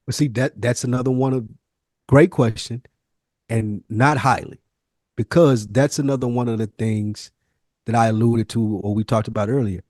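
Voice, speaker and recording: A man's voice: medium pitch, normal speed, a little mellow, no echo, studio quality. An American man talking candidly in a casual podcast conversation, using informal slang and emphatic phrasing. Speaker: podcast host.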